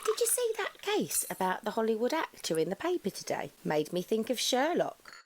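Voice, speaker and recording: Very lively housekeeper. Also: female voice, on the high side, moderate pace, slight background noise. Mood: chatty, curious. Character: chatty, attentive British housekeeper woman. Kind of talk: audio play acting.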